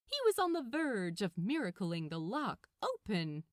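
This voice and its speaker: A woman's voice: mid-range voice, wildly swooping pitch, unhurried, no echo, clean studio sound. A woman narrating a piece of fiction expressively, as a scripted audiobook-style reading.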